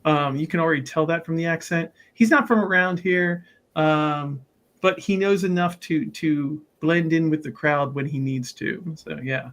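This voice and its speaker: A man's voice: on the high side, normal speed, a bit bright. A man playing a tabletop roleplaying game, casually explaining his character and often repeating and rephrasing his words as he goes.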